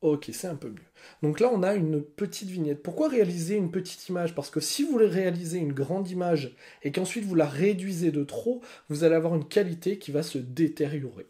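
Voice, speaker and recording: Mellow-voiced man patiently explaining software. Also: a little high, lively intonation, fairly quick. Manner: patient step-by-step explaining with frequent "hein" and "ok". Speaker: teacher giving a software tutorial. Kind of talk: screen-recorded tutorial. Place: home room with bookshelves, indoors.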